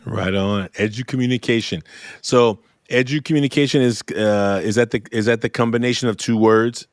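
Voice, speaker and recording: A man's voice: medium pitch, fairly even intonation, normal speed, dry recording, studio quality. A middle-aged man hosting a podcast interview, talking in a friendly, casual way and circling back over his words. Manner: friendly, repeats himself while practicing a name. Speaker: podcast host.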